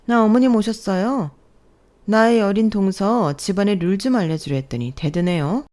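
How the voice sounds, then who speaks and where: A woman's voice: mid-range voice, normal speed, darkish tone, close to an everyday voice. A woman doing sneering snobbish sisters-in-law. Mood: mocking. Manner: mocking, condescending, needling. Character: haughty sneering older sisters-in-law, women.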